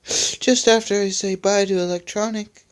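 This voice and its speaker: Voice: mid-range voice, fairly even intonation, normal speed, clean tone, pitched above the performer's own voice, close to an everyday voice. A reader voicing an evil hypnotizing villain girl who sneers and gloats. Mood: gloating.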